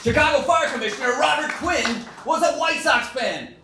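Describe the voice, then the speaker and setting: A man's voice: extremely high pitch, moderate pace, sharp tone. A man playing a jovial, boastful baseball team owner in a folksy first-person dramatic reading. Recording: echoey room from far off, faint noise.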